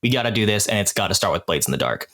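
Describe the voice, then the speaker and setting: A man's voice: lowish voice, very animated, rapid-fire, fairly bright tone. A man hosting a podcast in a casual, conversational way with a group of castmates. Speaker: podcast host and game master.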